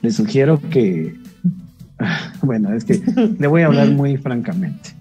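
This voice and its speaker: A middle-aged man with a Guatemalan Spanish accent, a doctor calmly answering call-in questions with frank advice and rhetorical questions. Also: mid-range voice, a little slow, husky, highs cut off, soft background music. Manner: calm, explanatory, asks rhetorical questions. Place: home room on a video call.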